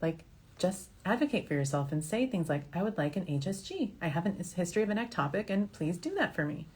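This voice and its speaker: A woman's voice: on the low side, big pitch swings, a little fast, a little mellow, breathy edge. A woman doctor hosting an informal question-and-answer show, speaking in a frank, conversational and reassuring way. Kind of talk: informal advice talk, answering viewer questions.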